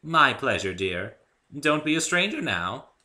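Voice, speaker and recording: A man's voice: mid-range voice, big pitch swings, a little slow, sharp tone, pitched above the performer's own voice, heavily acted. A man voicing a refined, genteel, good-natured female unicorn in a dramatic story reading, with an elegant, theatrical, affectionate manner. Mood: warm.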